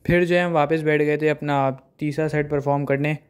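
A young man calmly narrating his gym workout as a voice-over, with many fillers. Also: a little high, brisk, noisy background. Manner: steady recap, heavy fillers.

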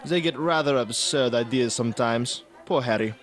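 An actor playing an evasive, courteous Viennese baron in a radio drama, with an Austrian German accent and a hesitant, ingratiating manner. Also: male voice, medium pitch, normal speed, a faint crowd, lightly acted. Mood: evasively polite.

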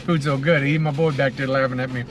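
A man's voice: medium pitch, steady tone, quick pace. A middle-aged American man giving a casual, savoring food review to camera, talking between bites inside a car. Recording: car cabin with clear ambient noise.

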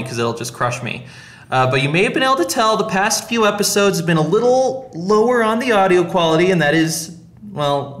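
A man's voice: a little high, a bit bright. Jokey male podcast host in an echoey room. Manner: jokey, self-deprecating. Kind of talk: casual podcast chat.

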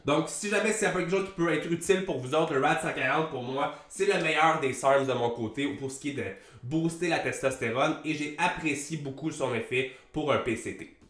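A young man with a Québécois French accent and a head cold that makes him sound nasal, talking fast and casually to camera as a fitness coach, with the odd swear word. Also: a little high, reverberant. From Quebec. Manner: fast, animated, swears casually, gestures a lot. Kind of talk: casual vlog talk to camera. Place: small room with a plain grey wall. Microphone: large desk mic on a boom arm.

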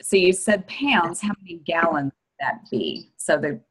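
A woman's voice: on the low side, animated, unhurried, slightly rough. A woman in her forties with an American accent, casually hosting a virtual tour on a video call and putting questions to guests. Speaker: host and moderator of a virtual farm tour. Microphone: computer mic on a video call.

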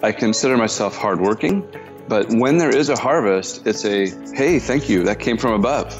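Low-voiced man in his fifties, halting. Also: lively intonation, music in the background. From Washington state, US. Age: 50s. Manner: halting, many fillers and restarts, self-deprecating. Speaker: business owner, guest. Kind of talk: casual interview talk. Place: his workshop.

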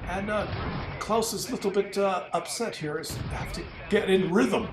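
Man putting on a very high-pitched foreign-accented game commentator. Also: moderate pace, over music, close to an everyday voice. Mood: steady commentary. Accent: put-on foreign. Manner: broken grammar, exclaiming and gloating. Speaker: host. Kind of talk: comic commentary on a game replay. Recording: roomy, distant mic with faint noise.

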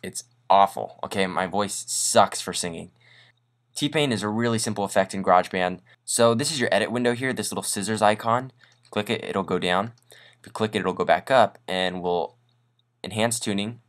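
A man hosting a casual software tutorial in an informal, upbeat, self-deprecating way. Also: fairly low pitch, moderate pace. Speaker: tutorial host. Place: voice-over on a screen recording.

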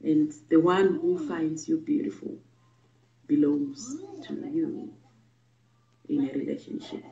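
Woman, forties, from Zimbabwe, earnest vlog talk, halting with ums, muffled roomy audio. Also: low-pitched, dark tone. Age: 40s. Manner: earnest, frequent ums and pauses. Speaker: vlogger and babywearing teacher. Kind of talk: personal storytelling to camera. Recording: muffled, echoey room audio.

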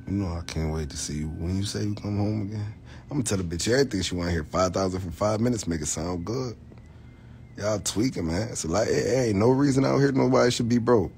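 Brief: young man in his 20s, low-voiced, crude jokester chatting to viewers from bed, phone mic close, faint room hum behind. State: tired, late at night.

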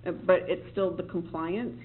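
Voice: mid-range voice, fairly even intonation, moderate pace. A city staff member briefing a board at a public meeting, rambling and correcting themself while sounding reassuring. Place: meeting room. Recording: tinny, lo-fi meeting recording with faint ambience.

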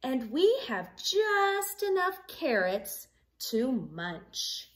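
A kindly storybook mother rabbit played by a woman with a high, sing-song voice. She reassures slowly and emphatically, her pitch swooping widely. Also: sing-song, exaggerated voice. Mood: reassuring, cheerful.

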